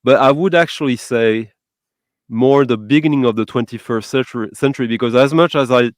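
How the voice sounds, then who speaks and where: Host guy, english not his first language, smooth clear voice, dry sound, gets excited and hunts for words. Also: medium pitch, brisk, no echo. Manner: enthusiastic, searching for words. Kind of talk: casual music chat.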